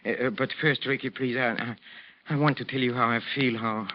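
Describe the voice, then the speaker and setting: A man plays an obsessive old boxing trainer in a vintage radio drama. He is effusive, pleading and theatrical, speaking at a medium pitch. The recording is dull and clearly noisy. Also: steady tone, fairly quick, close to an everyday voice. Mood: pleading, wistful. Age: older. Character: obsessive, needy older boxing trainer called Maestro.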